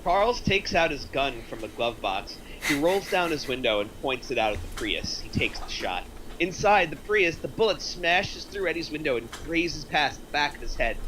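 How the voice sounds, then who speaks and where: A man's voice: on the high side, big pitch swings, moderate pace, pushed and bright, a little hiss or hum, rough recording. A man reading stage directions as the narrator at a film script table read.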